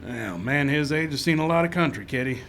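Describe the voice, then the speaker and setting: Radio western lawman man acting: level-headed, skeptical, slightly higher male voice, faint outdoor noise. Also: animated, fairly quick, a bit bright. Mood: wry, businesslike. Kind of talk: live old time radio western acting. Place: live show in a park. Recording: live outdoor stage, noisy background.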